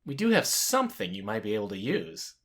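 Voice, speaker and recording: An actor playing a cocky, easygoing cyborg mercenary spy in a space audio drama. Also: male voice, lowish voice, wildly swooping pitch, fairly quick, fairly bright tone, heavily acted. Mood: businesslike.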